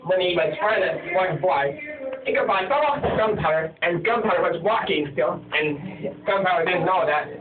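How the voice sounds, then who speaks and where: A man telling a ghost story in a halting, rambling, repetitive way, as an amateur storyteller. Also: high voice, expressive, noisy background. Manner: halting, repetitive, starts many sentences with "and so then". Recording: tinny phone-like sound, far from mic, echoey, crowd chatter.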